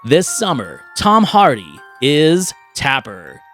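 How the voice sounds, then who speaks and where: A man hosting a podcast, chatting casually about films and pitching ideas with animated, vivid storytelling. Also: slow pace, soft background music. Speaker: podcast host. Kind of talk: casual film chat and movie pitching.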